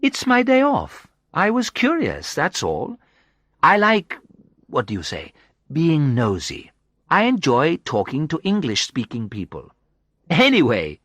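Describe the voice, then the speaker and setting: A man's voice: fairly high pitch, huge pitch swings, a little slow, fairly bright tone, no room sound, dull audio, pitched above the performer's own voice, full character voice. A man voicing a confident, friendly, teasing woman from Brazil in an audiobook story, with playful and emphatic delivery. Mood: frank, amused. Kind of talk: audiobook character dialogue.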